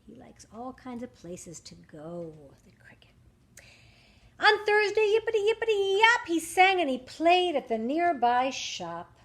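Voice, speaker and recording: A woman reading a rhyming picture book aloud to young children, with dramatic emphasis, drawn-out words and questions to her listeners. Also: very high voice, big pitch swings, a bit bright. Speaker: children's story reader.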